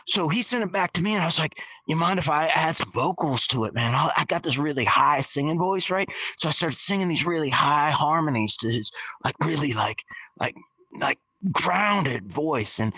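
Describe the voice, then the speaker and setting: A drawling skeleton rocker, centuries old and utterly unbothered, as a man puts him on. His bright, slightly high voice swoops up and down as he rambles, tagging "man" onto nearly every phrase. The sound is dry and close to the mic. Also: dry recording. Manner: drawling slacker dude, says "man" constantly. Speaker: bassist and singer in the guest band. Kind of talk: in-character storytelling. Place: virtual-world podcast, dry sound.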